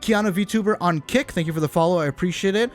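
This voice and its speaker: Guy streamer, kinda high voice, hyped casual rambling, repeats himself, game music going behind. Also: quick pace. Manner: enthusiastic, rambling, repeats phrases. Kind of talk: casual livestream chat and reaction.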